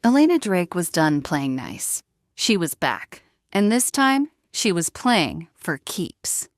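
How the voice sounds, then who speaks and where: A woman narrator delivers a dramatic scripted audio series. Her voice sits fairly low, and the recording is close and dry, with no room sound. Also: sing-song, slow, no room sound.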